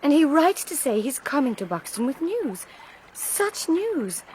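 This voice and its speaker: Genteel lovelorn young lady, flustered, high lively voice, radio play, slight noise. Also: measured pace, rough recording. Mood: flustered, anxious. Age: young adult. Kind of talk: period radio drama acting.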